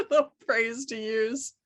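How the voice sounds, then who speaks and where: A woman's voice: fairly high pitch, steady tone, very slow. A woman chatting casually as an actor guest, with a wry, teasing manner. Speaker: actor guest on cast panel. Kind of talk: casual chat.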